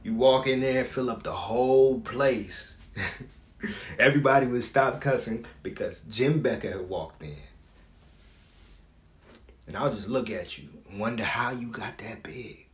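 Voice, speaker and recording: A man's voice: medium pitch, unhurried, pauses often, lightly acted. A man acting a dramatic stage monologue as a proud, wounded grown son speaking to his father. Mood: fond, rising. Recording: echoey room.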